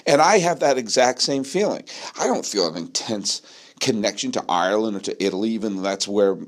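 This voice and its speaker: A man's voice: lowish voice, lively intonation, fairly quick. Emphatic American man talking sports. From New England, United States. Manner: emphatic, rhetorical questions, repeats phrases for effect. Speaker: sports podcast host. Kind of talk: opinionated solo sports monologue.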